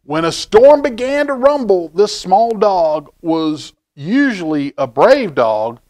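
A middle-aged man reading a picture book aloud to children in a folksy, expressive way, with chatty asides. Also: high voice, takes it slowly. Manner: folksy, expressive, asking the listener questions. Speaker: library storytime reader. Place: indoor library children's room.

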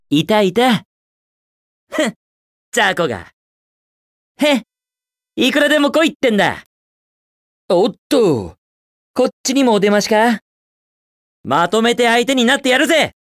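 An actor playing a cocky, upbeat young hedgehog hero in a video game, with a brash, casual, self-assured delivery. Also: male voice, extremely high pitch, big pitch swings, unhurried, pauses often, fairly bright tone, no room sound, clean studio sound, heavily acted. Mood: cocky, taunting. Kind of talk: Japanese video game voice clips.

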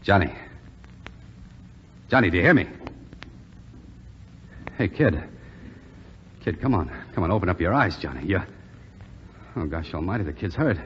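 A man's voice: deep voice, lively intonation, unhurried, pauses often. An actor playing a blunt, slangy young cadet who scolds his buddy in a 1940s radio drama. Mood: worried. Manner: slangy, exasperated. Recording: dull 1940s radio recording, faint noise.

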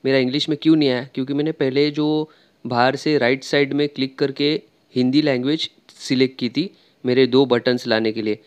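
A man's voice: medium pitch, fairly even intonation, a little fast, clean tone. Chatty man walking through settings. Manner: chatty, explains step by step, frequent fillers. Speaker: tech tutorial creator. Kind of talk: screen-recorded tutorial walkthrough.

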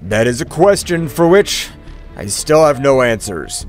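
A man voicing a calm, solemn high priest who speaks in a grave, measured, formal way, in a fan fiction story. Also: faint music behind.

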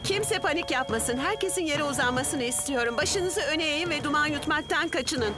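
An actress voicing a composed, capable young firefighter who takes charge with firm, clear instructions in a children's cartoon dub. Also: female voice, high voice, normal speed, natural voice. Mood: firm, calming. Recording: loud music over the dialogue.

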